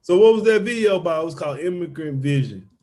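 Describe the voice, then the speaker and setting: A man's voice: a little high, animated, fast, crowd noise. A man co-hosting a podcast, asking loose, slangy questions. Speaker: podcast co-host. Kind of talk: casual podcast interview.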